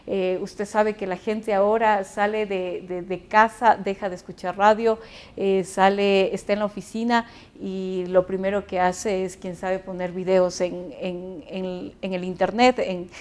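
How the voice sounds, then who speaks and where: A woman's voice: medium pitch, level tone, a little slow, darkish tone. A woman, a radio broadcaster invited as a guest, speaking with enthusiasm and plenty of fillers and restarts. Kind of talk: panel discussion answers.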